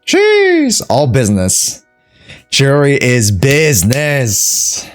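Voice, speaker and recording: A woman game streamer with a very deep voice. She talks in a slow, offhand way that still swings in pitch, giving playful live commentary. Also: huge pitch swings, faint music behind. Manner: playful, offhand reactions, echoes game dialogue. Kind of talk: casual live playthrough commentary.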